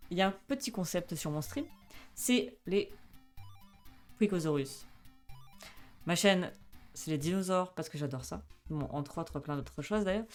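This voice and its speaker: A woman in her twenties chatting casually on a live stream, with many fillers, reading chat aloud and laughing often. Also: lowish voice. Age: 20s.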